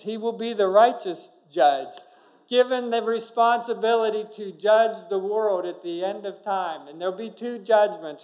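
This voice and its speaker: A middle-aged male pastor preaching a sermon from a church pulpit, earnest, with sudden loud emphasis and frequent restarts. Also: sits high, poor audio. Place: church sanctuary behind a pulpit. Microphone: pulpit microphone, far camera.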